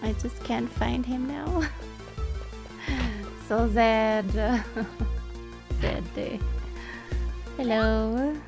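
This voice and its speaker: Slow-paced, higher-voiced young woman streaming. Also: steady tone, vocal fry. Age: young adult. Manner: giggly, chatting to viewers. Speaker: game streamer. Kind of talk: casual livestream gameplay chat. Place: indoor room, streaming setup. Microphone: boom-arm microphone close up. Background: faint game music.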